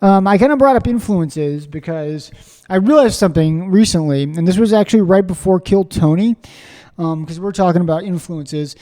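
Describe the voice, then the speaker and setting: A man from Springfield, Missouri, hosting a podcast interview, talking in an excitable, rambling way full of fillers and restarts. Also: high-pitched, normal speed, long reverb tail. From Springfield, Missouri, USA. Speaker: podcast host. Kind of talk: casual conversational interview.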